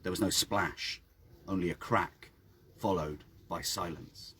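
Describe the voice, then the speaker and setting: A man reading his own story dramatically outdoors, with measured, hushed and suspenseful delivery. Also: low-pitched, fairly even intonation, a little hiss or hum. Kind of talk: dramatic scripted story narration. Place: outdoors by a town well.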